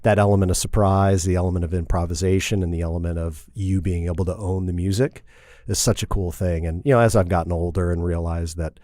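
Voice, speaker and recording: A man's voice: deep voice, fairly even intonation, fast talker, mellow, no room sound, studio quality. A middle-aged man from Indiana, talking casually as a podcast guest, rambling and repeating and correcting himself. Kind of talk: casual interview.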